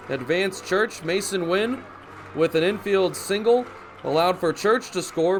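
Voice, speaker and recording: A young man calling baseball play-by-play for radio in crisp, descriptive phrases, as live sports commentary. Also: sits high, a little hiss or hum. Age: young adult. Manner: crisp, descriptive phrases with excited calls on big plays. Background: ballpark ambience.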